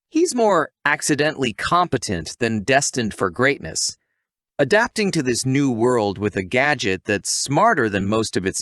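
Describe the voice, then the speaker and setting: A man's voice: medium pitch, a bit bright, no room sound, clean studio sound. A man narrating a scripted comedic recap in a wry, playful way. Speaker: narrator of anime recap videos.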